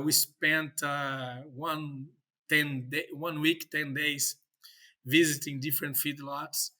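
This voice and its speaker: A man from Brazil with a Brazilian Portuguese accent, Portuguese native, speaking as a professor in a podcast interview, halting with many fillers and restarts. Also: mid-range voice, bright, forceful tone. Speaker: professor and guest.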